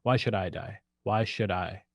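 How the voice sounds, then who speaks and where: An actor playing a weak, bewildered husband in a radio drama, halting and repeating his words. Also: lowish voice, creaky, dry recording, studio quality. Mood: resolving, resentful. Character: weak, bewildered henpecked husband turning to murder.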